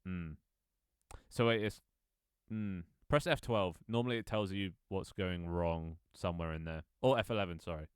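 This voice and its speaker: Deep-voiced man in his twenties. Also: normal speed, clear, resonant tone, no room sound, studio quality. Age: 20s. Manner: rambling, lots of ums and restarts, reads chat aloud. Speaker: game developer streaming his game. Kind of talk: casual live-stream chat.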